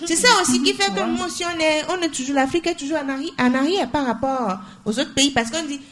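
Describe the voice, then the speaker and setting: Young woman, high voice, emphatic radio talk, keeps repeating her points. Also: quick pace, a bit bright. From Togo. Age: young adult. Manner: emphatic, repeats phrases for weight. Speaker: radio show co-host. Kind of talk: radio talk show discussion.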